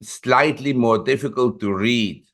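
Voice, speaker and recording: A man's voice: medium pitch, measured pace, fairly bright tone. A man in his seventies, a newsletter publisher and interview guest, telling long rambling anecdotes with self-corrections. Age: 70s.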